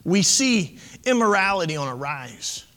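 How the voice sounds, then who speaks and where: A man's voice: high-pitched, very animated, measured pace, a bit bright, in a large hall. A man in his late thirties, preaching a sermon as pastor, impassioned and conversational with asides to the congregation. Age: 30s.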